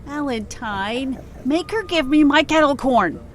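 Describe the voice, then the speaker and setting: Woman as a sarcastic, petulant squabbler, animated. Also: high voice, unhurried. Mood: indignant. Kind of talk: audio drama character acting. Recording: faint background noise.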